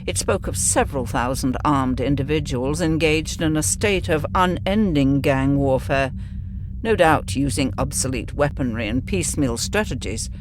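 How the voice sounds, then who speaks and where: A man's voice: a little high, normal speed, background noise, close to an everyday voice. A man playing an analytical, sceptical starship officer in a sci-fi audio drama, speaking in a precise, inquiring way.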